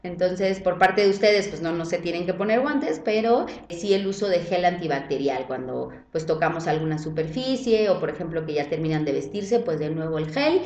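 A woman's voice: lowish voice, animated, normal speed, few pauses, a little mellow, a little hiss or hum. Woman doctor, explaining in a roomy sound. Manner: friendly explanatory, tag questions. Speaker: gynecologist. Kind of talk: casual podcast conversation.

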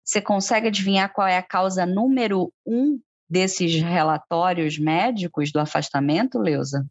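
A woman with a Brazilian Portuguese accent co-hosts a podcast in a conversational, explanatory way, often turning questions to her partner. Her voice sits somewhat low. The recording is dry and slightly dull. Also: moderate pace, dry recording. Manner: explanatory, asks co-host questions. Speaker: podcast co-host.

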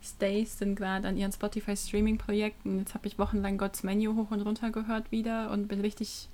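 A woman co-hosts a casual fan podcast. Her pitch sits in the middle, and she slips English slang into her sentences as she chats. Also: monotone, brisk, mellow. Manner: peppers German with English slang. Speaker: podcast co-host. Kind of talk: casual fan chat about pop music.

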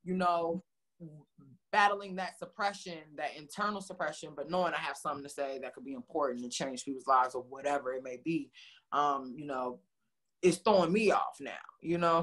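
A woman with a deep, heavy voice speaking passionately as a guest in a casual interview over a video call, full of "like" and "you know". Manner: passionate, expressive, many "like" and "you know" fillers.

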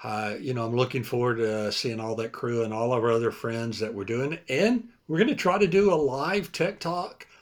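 Host: man, mid-pitch, easygoing, calls people buddy. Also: moderate pace, few pauses. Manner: friendly, loose. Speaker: podcast host. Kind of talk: casual podcast chat.

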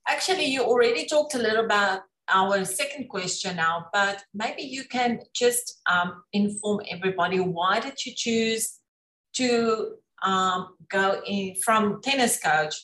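A woman's voice: medium pitch, measured pace, a bit bright. Echoey-sounding woman hosting an interview. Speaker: host interviewing a guest. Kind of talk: online interview.

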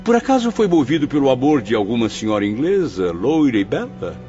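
A man playing a sarcastic, needling gentleman in a radio drama, mocking with exaggerated formality. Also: medium pitch, big pitch swings, brisk, lightly acted. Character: Camilo, sarcastic, needling Portuguese gentleman with a difficult temper. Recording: dull lo-fi, music behind.

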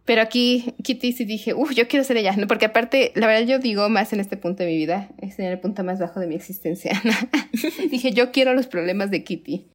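Young woman, Mexican Spanish accent, podcast co-host, casual chat, laughs often, mid-pitched voice. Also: a little fast. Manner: chatty, laughs often, acts out her own reactions in quotes.

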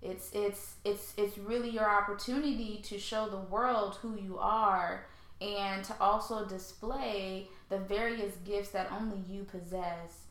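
A woman's voice: mid-range voice, steady tone, unhurried, a bit bright. An American woman hosting a conversational podcast interview, speaking in an earnest, reflective and affirming way. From born in Haiti, raised in St. Louis, Missouri, USA. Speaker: podcast host. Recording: roomy, echoey sound.

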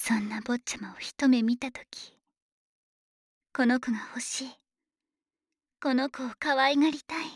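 An obsessive dragon maid, acted by a woman, murmurs in a high, breathy voice for an ASMR drama. She speaks slowly and politely, like a devoted servant, with a teasing, possessive menace. The sound is very close and dry. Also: no echo. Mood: gloating, doting. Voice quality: breathy, whispery. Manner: slow, honorific servant speech, softly teasing. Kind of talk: Japanese ASMR situation voice drama. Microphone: close microphone, dry studio recording.

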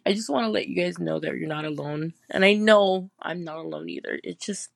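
A caller with a creaky, mid-pitched voice speaks haltingly and sounds heartbroken. It is a personal voicemail with a close, dry sound. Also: no echo. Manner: faltering, repeats words. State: upset, heartbroken.

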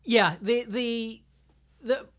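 A man's voice: very high-pitched, fairly even intonation, very slow, fairly bright tone. A man talks casually on a podcast with plain enthusiasm. He often stammers into his sentences, repeating small words before carrying on with his point. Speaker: podcast speaker.

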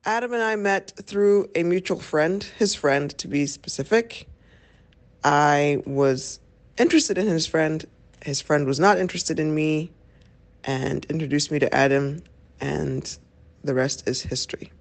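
A woman's voice: low voice, unhurried. A woman from Ethiopia, by way of Virginia, speaking plainly and matter-of-factly about her marriage. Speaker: interviewee, wife. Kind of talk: casual chat.

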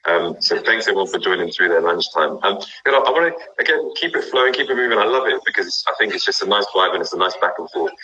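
A deep-voiced man hosts a live chat over a video call, his audio dull and lo-fi. His tone is bright yet husky, and he keeps things upbeat, often repeating his phrases back to his guest. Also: lively intonation, fast talker, hardly any pauses. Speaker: host. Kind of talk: live stream interview chat.

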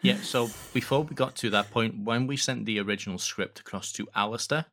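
A man telling anecdotes conversationally as an interview guest, halting and correcting himself as he goes. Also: lowish voice, sharp tone, a little hiss or hum. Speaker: podcast guest, audio drama producer.

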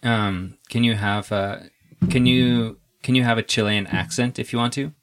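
A young man interviewing a guest in a casual, relaxed way, often restarting his sentences and chuckling. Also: mid-range voice, normal speed, soft background music.

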